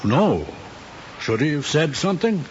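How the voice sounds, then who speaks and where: An anxious, ambitious middle-aged father in a mid-century screen drama. He speaks earnestly and haltingly. The old soundtrack is dull, with a faint hiss behind him. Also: a little high, very animated. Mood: troubled.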